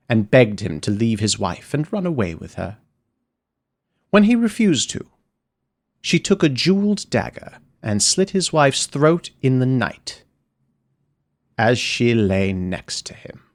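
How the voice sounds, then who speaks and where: A man telling a fairy tale in the first person, his pitch sweeping widely as he dramatizes each moment. His storytelling is expressive and theatrical, leaning into the darker turns of the tale. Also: a little slow. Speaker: audiobook narrator.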